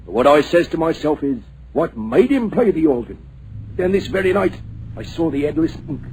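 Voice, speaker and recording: An old groom in a radio play, superstitious and earnest, voice pitched a little high. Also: male voice, big pitch swings, moderate pace, a little mellow, slight background noise, poor audio. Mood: earnest, alarmed. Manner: dialect-heavy, earnest, rising to alarm. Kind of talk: 1940s radio acting.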